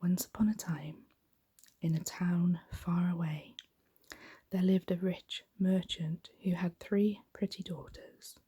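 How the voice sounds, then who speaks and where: A woman giving a soft-spoken, gentle, hushed ASMR reading of a bedtime story in a breathy, whispery voice. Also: on the low side, fairly even intonation, slow.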